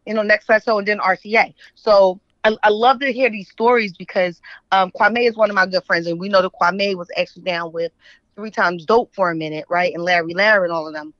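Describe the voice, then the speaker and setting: A woman's voice: medium pitch, fairly quick, no echo. A middle-aged woman with a Philadelphia accent mixed with New York, chatting casually and telling stories in slang as an interview guest. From West Philadelphia, United States. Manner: animated slangy storytelling, checks in with "right?". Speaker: radio show guest, writer. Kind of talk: casual interview chat, reminiscing. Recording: dull, muffled audio.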